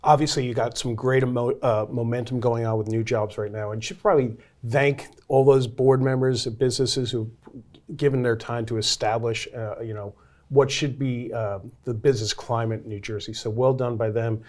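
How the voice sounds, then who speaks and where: A man's voice: mid-range voice, steady tone, normal speed, darkish tone. A man hosting an interview show, speaking conversationally as he questions a guest and links segments. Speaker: talk show host.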